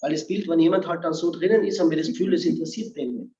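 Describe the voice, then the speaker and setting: A man with an Austrian accent talks about humor in a lively, joking way with a mellow voice. His delivery is emphatic and animated. The sound is roomy and somewhat dull. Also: a little high, racing. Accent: Austrian German. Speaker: humor speaker and seminar guest. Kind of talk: lively casual talk-show chat.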